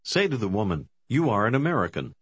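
A man's voice: fairly low pitch, big pitch swings, dry recording. A man giving scripted instructions as the narrator of a language course. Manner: clear, measured prompting. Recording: dry studio.

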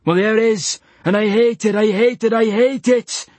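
A bitter, paralysed former pilot snarls and sneers from his hospital bed, as a male narrator voices him. His husky voice is slow and raw. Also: on the high side, no echo.

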